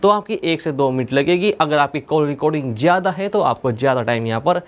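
A man's voice: a little high, lively intonation, fairly quick. A young man presenting a tech tutorial step by step, in a methodical, instructional manner. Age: young adult. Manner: instructional, repeats points methodically. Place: indoor studio with plain blue backdrop.

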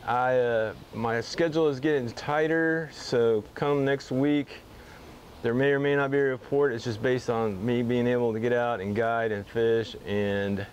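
A middle-aged man, a fly fishing guide, seated in his workshop, giving a casual weekly fishing report to camera with frequent pauses. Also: medium pitch, a little hiss or hum. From Texas. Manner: relaxed, easygoing, with frequent "uh" pauses. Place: indoor garage-like workshop bar, seated at a table.

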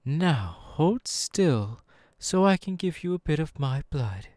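A man's voice: a little high, very animated, darkish tone, no room sound. Man playing a seductive male demon, condescending, menacing, swooping intonation, close dry mic, ASMR roleplay. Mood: patronising menace. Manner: slow, teasing, drawn-out, patronising phrasing. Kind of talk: ASMR audio roleplay, second-person monologue. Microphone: close dry microphone.

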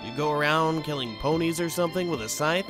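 A man's voice: a little high, quick pace, over music, natural voice. A man voicing a teasing, laid-back young male pony in an audio story. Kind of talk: audio story character dialogue.

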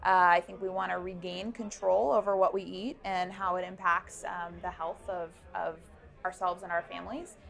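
A woman's voice: fairly low pitch, flat delivery, normal speed, vocal fry, faint music behind. A young woman, a founder, answering interview questions at an indoor event with a lapel mic, thoughtful and full of ums and restarts. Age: young adult. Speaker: startup founder. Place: busy indoor networking event.

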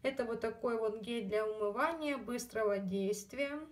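Woman chatting thru a beauty haul, kinda high voice, a bit scratchy throat, phone mic a little far off. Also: normal speed. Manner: chatty, many fillers, addresses viewers as girls. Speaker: beauty vlogger. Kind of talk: casual haul video chat.

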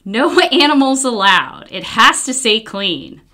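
A woman's voice: on the high side, animated, a little slow, sharp tone. An American woman voicing a patient father in a children's storybook, explaining things emphatically. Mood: gently correcting.

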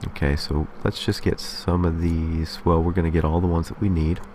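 A man's voice: low-pitched, level tone, normal speed, a little mellow, a little hiss or hum. A man hosting a casual game building tutorial, explaining methodically step by step and often checking in with a short okay. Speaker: tutorial host.

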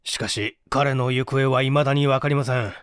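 A man's voice: mid-range voice, fairly even intonation, normal speed, clear, resonant tone, dry recording, studio quality, voice pushed down, lightly acted. A man voicing a methodical police detective who explains deductions formally in a dramatic audiobook reading. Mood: earnest, emphatic.

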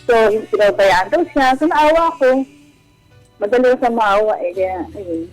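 A woman's voice: on the high side, lively intonation, normal speed, no echo, poor audio. A Filipino woman calling in to a radio show, recounting a personal matter in casual conversation. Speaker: caller. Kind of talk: personal confession to radio hosts. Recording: radio call with music underneath. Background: background music.

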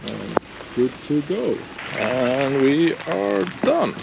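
Man talking over loud wind on a boat. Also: medium pitch, big pitch swings, gravelly, muffled recording. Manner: halting, many "uh" fillers, plain explaining. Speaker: sailing vlogger, boat captain. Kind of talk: casual narration on deck. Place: outdoors on a sailboat. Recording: loud wind and engine noise.

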